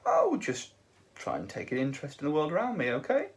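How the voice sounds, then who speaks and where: A man's voice: on the high side, huge pitch swings, brisk, noisy background, pitched above the performer's own voice. A man voicing a breezy, persuasive young man coaxing a guard, in an audiobook reading. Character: cunning, breezy young man talking his way out.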